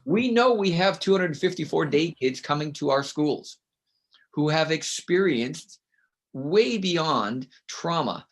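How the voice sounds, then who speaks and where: A middle-aged man from Canada with a loud voice, speaking as a guest in an interview. He is emphatic and tells stories, and he often ends his points with a quick "right?". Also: fairly high pitch, animated. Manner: emphatic, storytelling, with frequent "right?" tags. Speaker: guest author and consultant. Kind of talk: conversational interview.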